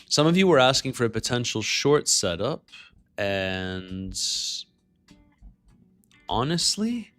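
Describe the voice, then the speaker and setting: Low-voiced man. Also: a bit bright. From Canada. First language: French. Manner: conversational, greets and answers chat. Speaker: livestream host. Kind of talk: casual livestream market commentary.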